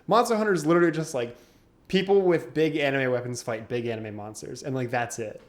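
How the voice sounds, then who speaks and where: A man's voice: medium pitch, a little fast. A young man co-hosting a podcast, talking casually and animatedly, laughing often and acting out quotes. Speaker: podcast co-host. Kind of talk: casual chat.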